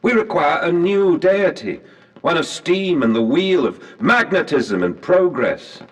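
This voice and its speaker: A proud industrialist obsessed with his vision. He speaks in grand, bitter oratory with very animated pitch. The game recording sounds far from the mic, in a long hall-like reverb. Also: unhurried, dull audio. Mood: bitter, fervent. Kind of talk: scripted game voice acting, period diction.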